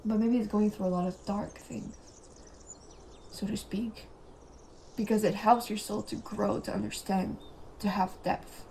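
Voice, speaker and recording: A young woman whose first language is French, giving a casual tarot reading in a chatty, giggly, rambling way and sometimes dropping to a soft whisper. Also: mid-range voice, steady tone, a little slow, vocal fry, long pauses, slight background noise. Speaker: tarot reader.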